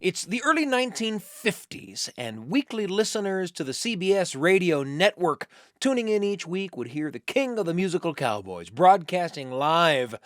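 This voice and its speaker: A male radio host with a mid-range, very animated voice. He narrates scripted material in a folksy, conversational way with deliberate phrasing, recorded close and dry in a studio. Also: high-pitched, no echo.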